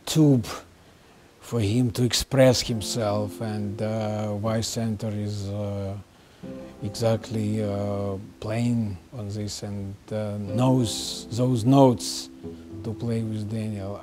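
A man in his sixties, not a native English speaker, searching for words in an interview. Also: fairly low pitch. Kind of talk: documentary interview. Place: indoors, furnished room. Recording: music under the voice.

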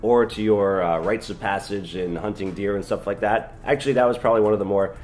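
Deep-voiced young man reviewing films. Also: steady tone, fairly quick, a little mellow, slight background noise. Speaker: film review show co-host. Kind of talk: casual film review chat. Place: small living room, indoors.

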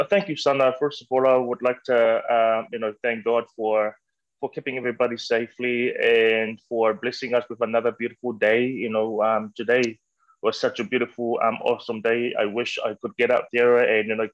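A man's voice: mid-range voice, no room sound. A man, a health worker, speaking earnestly as a guest over a video call with many fillers. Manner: earnest, lots of fillers and restarts. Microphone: headset on a video call. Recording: dry, dull video-call audio.

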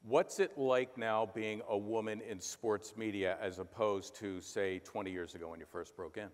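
A man's voice: lowish voice, fairly even intonation, normal speed. Older man, a sports announcer, in a reverberant hall. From Philadelphia. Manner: storytelling with bursts of play-by-play imitation. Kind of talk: on-stage conversation. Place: large conference hall stage.

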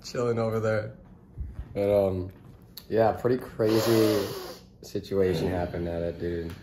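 A young man vlogging casually to a handheld camera, relaxed and laughing often, trailing off with fillers. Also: fairly low pitch, measured pace. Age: young adult. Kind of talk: casual vlog narration. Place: outdoors on an abandoned site and in a house. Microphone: handheld camera at arm's length. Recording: noisy handheld camera audio.

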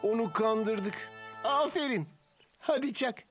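A woman voicing a chubby, cheeky, excitable mouse-like animal sidekick in a children's cartoon. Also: fairly high pitch, slow. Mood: excited. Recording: loud music behind. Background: cartoon music.

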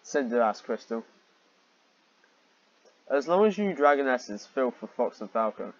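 A man's voice: medium pitch, big pitch swings, a little slow, dry recording, muffled recording. A man reading amateur fiction aloud with sarcastic commentary and exasperated, incredulous asides.

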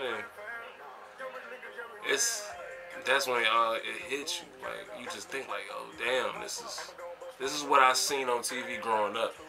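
A young American man in his twenties talks to a camera in his bedroom. His voice sits at a middling pitch, and his delivery is slangy and emphatic, like casual conversation. Music plays clearly behind him. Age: 20s. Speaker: vlogger and college basketball player. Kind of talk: casual vlog reflection. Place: small bedroom, indoors.

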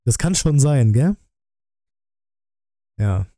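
Very mellow man streaming, close and dry. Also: medium pitch, big pitch swings, extremely slow, dry recording. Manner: chatty, addressing viewers. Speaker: streamer reacting to a film review. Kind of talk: casual live-stream commentary. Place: small room at a streaming setup. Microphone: close desk microphone, headset worn.

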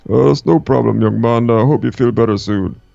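Man as gravelly, kindly gentleman. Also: medium pitch, a little fast, no echo, muffled recording. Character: kindly, obliging man, an adult servant. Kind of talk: improvised Minecraft roleplay. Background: music.

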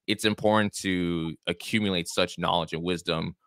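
A man's voice: low-pitched, fairly even intonation, normal speed, a bit bright, clear, resonant tone, dry recording. A young man hosting a podcast from a small home studio, talking in a chatty, encouraging way. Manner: encouraging, chatty, repeats himself. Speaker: podcast host. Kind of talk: casual interview chat. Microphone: studio mic with headphones.

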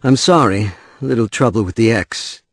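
A man's voice: on the low side, moderate pace, no room sound, clean studio sound. A man acting a frazzled, mild-mannered professional in an audio drama. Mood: apologetic.

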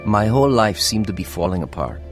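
A man's voice: on the low side, sing-song, brisk. An actor playing an earnest, troubled young navy man in a confessional radio drama. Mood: reflective narration. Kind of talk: radio drama narration and acting. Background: music.

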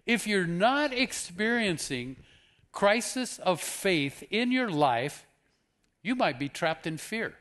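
A man's voice: sits high, big pitch swings, takes it slowly, fairly bright tone. A man in his fifties, a guest preacher giving an earnest sermon on a church stage, asking the audience questions. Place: church stage with purple lighting.

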